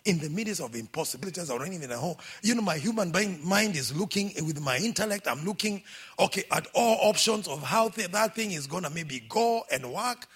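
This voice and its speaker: A middle-aged man with a Kenyan accent, preaching a sermon in a lively, exhorting way and calling out for amens. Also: high voice, brisk, breathy edge. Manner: lively, exhorting, calls out for amens, quotes scripture.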